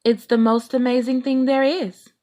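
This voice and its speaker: A woman voicing a sensible, motherly mama bear in a children's storybook, firm and gently reproving. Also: sits high, level tone, moderate pace, voice pushed up, close to an everyday voice. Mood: gentle.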